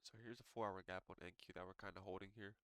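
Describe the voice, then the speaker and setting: A man's voice: sits low, steady tone, racing, smooth, no echo. A man with a congested, stuffy voice casually walking through trading charts, talking loosely with lots of filler words. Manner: loose and conversational, frequent "um" and "so". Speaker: day trader reviewing charts. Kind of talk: casual screen-share market walkthrough.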